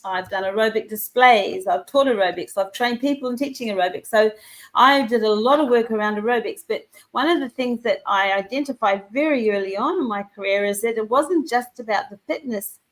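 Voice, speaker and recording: A confident woman, talking on a video call. Her voice sits a little high, and she speaks in long, energetic runs with quick restarts, sure of her expertise. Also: rough recording. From New Zealand. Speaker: wellness and business coach. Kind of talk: conversational interview.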